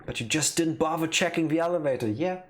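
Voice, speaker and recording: A man's voice: fairly high pitch, fast talker, slight background noise. A man giving casual commentary while playing a game on a live stream. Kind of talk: casual let's play commentary. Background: game music.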